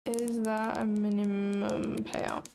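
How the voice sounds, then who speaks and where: A woman's voice: mid-range voice, level tone, slow, vocal fry, mellow, slight background noise. A young adult woman chatting casually on a trading livestream, blunt and sarcastic, swearing freely.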